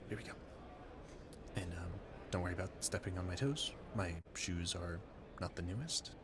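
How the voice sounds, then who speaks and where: Man acting a flustered, low-voiced romantic gentleman. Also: noisy background.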